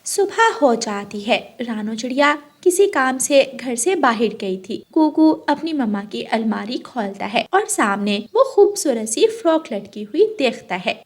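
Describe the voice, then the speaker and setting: A woman's voice: fairly high pitch, sing-song, unhurried, few pauses. A woman narrator reading a scripted children's cartoon story.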